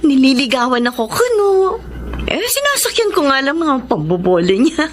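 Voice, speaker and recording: A woman's voice: high-pitched, very animated, normal speed, slight background noise. A woman playing a weary but resilient young single mother in a Filipino radio drama, speaking plainly and with feeling. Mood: guarded, scornful. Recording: music bed behind the voice.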